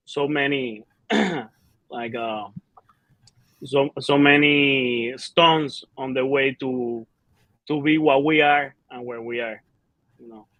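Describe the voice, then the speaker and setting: Halting Cuban-born metal singer, dry sound. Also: male voice, mid-range voice, fairly bright tone, no room sound, poor audio. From Cuba. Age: 30s. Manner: halting, many fillers and restarts. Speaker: band singer and bassist. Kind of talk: casual interview answers.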